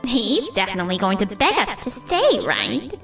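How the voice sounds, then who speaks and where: A woman playing a smug, spoiled young saleswoman in an animated manga dub, with dramatic casual phrasing. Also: medium pitch, moderate pace, vocal fry, soft background music. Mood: gloating.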